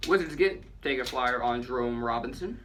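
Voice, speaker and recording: A man's voice: medium pitch, normal speed, fairly bright tone, slight background noise. A man co-hosting a casual sports talk show, chatty and joking as he reads out trades and counts down. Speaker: podcast co-host.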